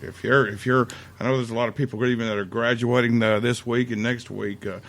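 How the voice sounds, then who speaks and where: A man's voice: medium pitch, fairly even intonation, a little fast, a bit bright. A man from Middle Tennessee, rambling in a casual podcast chat with many fillers. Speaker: podcast co-host.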